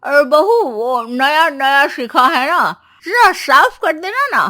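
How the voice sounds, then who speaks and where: Woman playing nagging older mother-in-law, bright voice. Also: high voice, sing-song, slow pace, exaggerated voice. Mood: sly, sweet. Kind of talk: Hindi animated family comedy story.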